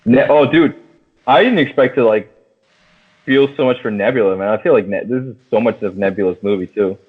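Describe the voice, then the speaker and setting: Low-pitched man, thin phone-like sound. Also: a little fast, slightly rough. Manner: excitable slangy talk, heavy swearing. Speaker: podcast host. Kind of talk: casual movie discussion.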